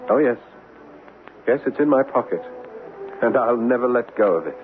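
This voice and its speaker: An actor playing a determined, lovesick young suitor, intense and earnest, in old-time radio drama style. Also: male voice, medium pitch, lively intonation, moderate pace, phone-quality sound, soft background music, close to an everyday voice. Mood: earnest, yearning. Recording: thin, noisy vintage radio recording.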